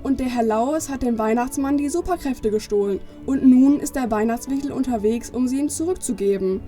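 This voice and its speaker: A woman's voice: sits high, a little fast, mellow, faint music behind, close to an everyday voice. A woman playing an earnest, determined young girl, childlike and insistent, in a children's audio play. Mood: pleading, insistent.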